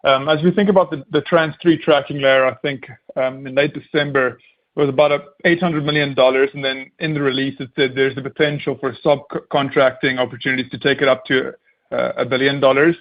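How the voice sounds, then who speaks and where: A man's voice: medium pitch, steady tone, brisk. A man speaking as a financial analyst, asking questions on an earnings call in a polite, tentative way with hedged phrasing. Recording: slightly dull conference call audio.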